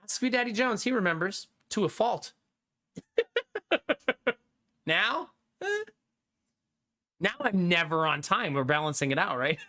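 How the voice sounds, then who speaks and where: A young adult man streaming live, chatting casually with his viewers, animated and talkative, often laughing. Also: high-pitched, measured pace, pauses often.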